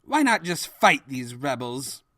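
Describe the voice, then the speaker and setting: A woman's voice: a bass voice, sing-song, measured pace, pushed and bright, no echo, studio quality, full character voice. A woman voicing an anxious, deferential servant of an evil king, hesitant and formal, in a fan fiction audio drama. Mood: nervous pleading.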